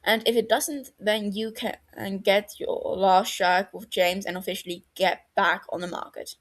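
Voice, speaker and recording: A woman's voice: mid-range voice, steady tone, unhurried, natural voice. A woman voicing a blunt, easygoing young man who swears casually and talks in a laid-back way to his friend.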